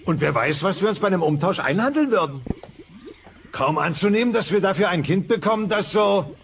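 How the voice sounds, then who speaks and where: A man's voice: high voice, expressive, fairly quick. A man playing a pompous, grumbling middle-aged dinosaur father in a cartoon dub. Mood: pompous pleading. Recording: hissy old cassette transfer.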